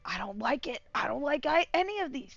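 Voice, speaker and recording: A woman streamer giving casual, giggly, excitable and profane live commentary on a game. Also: sits high, brisk, gravelly, highs cut off, faint music behind.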